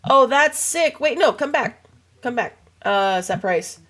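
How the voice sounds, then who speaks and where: A young woman streaming a game, chatting casually to her viewers with a voice still hoarse and froggy from a cold, rambling and swearing freely. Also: fairly high pitch, expressive, takes it slowly, a bit bright. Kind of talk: casual livestream chat while gaming.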